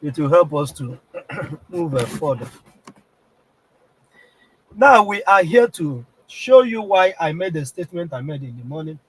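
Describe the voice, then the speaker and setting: Nigerian male host, sick with a cold, husky, slightly high-pitched, insistent live-stream talker. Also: very animated, unhurried, pauses often, low-quality recording. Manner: keeps clearing his throat, repetitive and insistent. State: has a heavy cold, throat strained. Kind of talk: political live-stream address.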